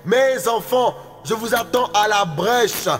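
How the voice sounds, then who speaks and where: A man's voice: sits high, slow pace. A man delivering a prophetic utterance, with intense, repetitive declaiming and speaking in tongues. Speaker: prophetic preacher. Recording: long hall reverb, faint music behind.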